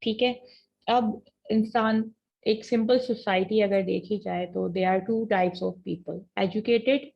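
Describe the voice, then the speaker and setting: A woman teaching an online class, explaining points in a mix of Hindi and English and reading definitions slowly off a slide. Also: mid-range voice, fairly even intonation, vocal fry. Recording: dull lo-fi online class audio.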